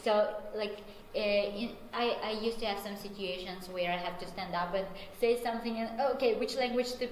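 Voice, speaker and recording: A woman's voice: medium pitch, fast. A woman with a Ukrainian accent who teaches English at a university, answering interview questions thoughtfully and correcting herself as she goes. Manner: thoughtful, self-correcting, fillers like "so" and "like". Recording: echoey room, faint background noise.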